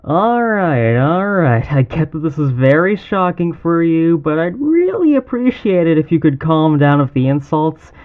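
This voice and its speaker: A man's voice: a little high, big pitch swings, a little slow, few pauses, phone-quality sound. A man playing an exasperated, wry mafia boss in a conversational roleplay audio drama. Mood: harried, placating.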